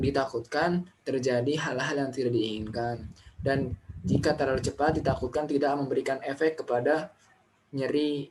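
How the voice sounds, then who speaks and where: A man's voice: medium pitch, slight background noise, low-quality recording. A young adult man giving an academic student presentation, repeating phrases and posing questions as he explains.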